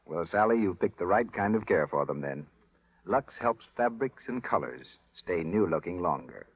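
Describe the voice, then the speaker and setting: Lively low-voiced American man, vintage radio announcer. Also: moderate pace, slightly rough, no room sound, low-quality recording. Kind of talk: scripted 1930s sponsor patter and announcing. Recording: vintage 1930s radio broadcast recording.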